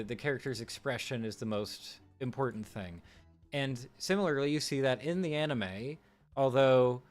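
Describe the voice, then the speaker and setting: A man streaming live, talking through film technique in a casual, musing way with fillers and restarts. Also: mid-range voice.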